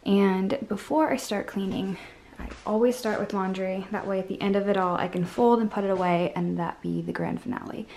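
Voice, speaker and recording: A woman's voice: medium pitch, steady tone, normal speed, vocal fry, a little mellow, slight background noise. A young woman in a casual vlog voice-over, chatty and upbeat, talking viewers through her cleaning routine step by step. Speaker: home vlogger.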